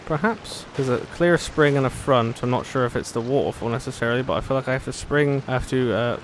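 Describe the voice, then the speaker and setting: Low-voiced woman, sarcastic gamer. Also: fast, noisy background. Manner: sarcastic, jokey asides to game characters. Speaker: gaming video host. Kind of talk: casual gameplay commentary. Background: game music.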